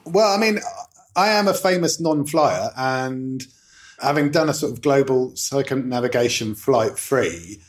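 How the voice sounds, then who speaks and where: A man's voice: mid-range voice, a little slow. A man who is a return guest on a podcast, talking in a witty, wordy and self-mocking way. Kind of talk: casual podcast interview.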